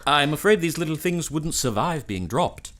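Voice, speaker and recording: An actor playing a suave, formal gentleman in a period audio drama, quietly sly and assured with a wry manner. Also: a little high, expressive, moderate pace. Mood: sly, amused.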